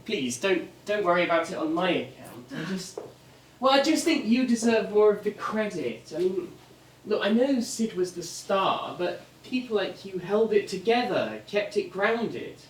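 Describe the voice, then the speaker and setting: An actress playing a kind younger woman in naturalistic stage dialogue, earnest, gentle and a little hesitant. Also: medium pitch, lively intonation, a little slow, lightly acted. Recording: echoey theatre, distant, faint noise.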